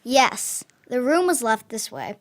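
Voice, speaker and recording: Young girl acting orphaned farm runaway Olivia, high voice. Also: very animated, a little slow. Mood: matter-of-fact, wistful. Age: child of about 10 or 11. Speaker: school pupil acting. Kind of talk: school radio drama acting. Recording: clearly noisy, with background ambience.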